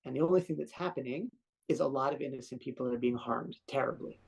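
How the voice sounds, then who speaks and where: A man, a professor, answering an interview thoughtfully with pauses and restarts. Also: mid-range voice, gravelly, darkish tone, husky, poor audio.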